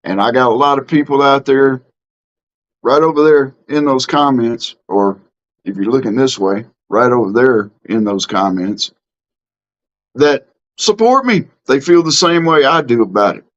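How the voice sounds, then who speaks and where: A man's voice: medium pitch, animated, a little slow, dull audio. A middle-aged man from Mississippi with a Southern accent, chatting casually on his live stream and answering comments in a plainspoken, drawling way with many ums and restarts. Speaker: livestream host.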